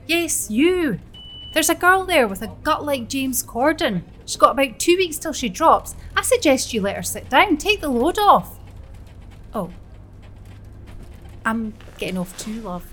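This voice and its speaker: A woman's voice: mid-range voice, fairly even intonation, takes it slowly, pauses often, slight background noise, close to an everyday voice. A woman playing a blunt Scottish nurse. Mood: brash. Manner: brusque, chatty inner monologue. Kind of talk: audio drama acting.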